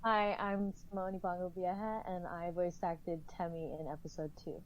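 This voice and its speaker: A woman's voice: on the low side, level tone, normal speed, muffled recording, a little hiss or hum. A woman guest on a podcast round-table, talking in a thoughtful, rambling way with small laughs. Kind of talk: casual round-table chat. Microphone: video call.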